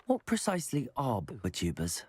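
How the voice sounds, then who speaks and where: A husky, polite young student. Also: male voice, medium pitch, wildly swooping pitch, brisk. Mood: polite, curious. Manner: courteous, considerate. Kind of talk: video game character acting. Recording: music under the voice.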